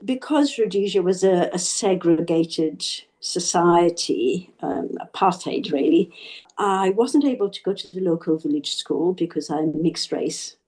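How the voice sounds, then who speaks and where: A woman from Zimbabwe, an author and guest on a radio interview, speaking reflectively with soft laughs and small restarts. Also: lowish voice, lively intonation, a little slow.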